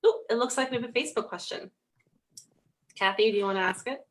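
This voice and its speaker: A woman's voice: a little high, fast talker, a little hiss or hum. A woman librarian hosting a video chat, asking questions in an encouraging way. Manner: warm, encouraging interviewer. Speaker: librarian host. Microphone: video call.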